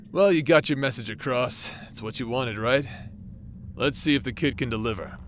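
A man's voice: medium pitch, normal speed, slight background noise, lightly acted. Sardonic man with a mid-range voice acted. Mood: mocking, casual. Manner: sardonic, informal, blunt. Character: casual, mocking male figment of the listener's thoughts. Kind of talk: audio roleplay drama acting.

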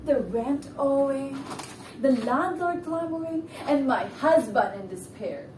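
A woman's voice: high voice, lively intonation, takes it slowly, a little mellow, far from the mic, slight background noise. A young woman playing a shrewd, restless, debt-ridden woman scholar in a stage play, theatrical and musing. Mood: brooding, fretful. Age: young adult. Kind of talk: amateur stage play acting. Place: indoor living-room stage set. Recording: echoey stage from afar, music behind.

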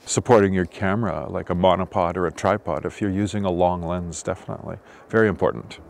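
A man's voice: sits low, fairly even intonation, fast talker, vocal fry. An older man in his sixties or beyond giving off-the-cuff advice in short, clipped phrases, recorded outdoors. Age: 60s or older. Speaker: experienced bird photographer giving tips. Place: outdoors, open grassland.

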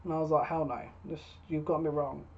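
A woman's voice: low-pitched, fairly even intonation, a little fast, slightly rough. A woman in her thirties giving a casual, spoken tarot reading to camera as a psychic reader. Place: small furnished room at home, indoors. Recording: dull lo-fi webcam sound.

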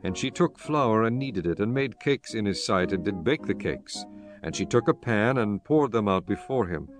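A man with a lowish voice narrates scripture. His delivery is formal and solemn, like a storyteller, with music underneath. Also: animated, normal speed. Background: background music.

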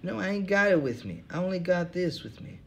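A man's voice: animated, fairly quick, a little hiss or hum. A man voicing a weary, self-pitying male drifter with genteel airs. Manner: listless, self-pitying, raised pitch.

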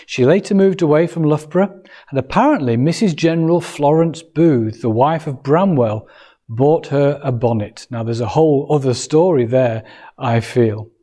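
Mellow middle-aged man preaching a sermon. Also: fairly high pitch, moderate pace. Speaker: Salvation Army officer preaching. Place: church hall, indoors.

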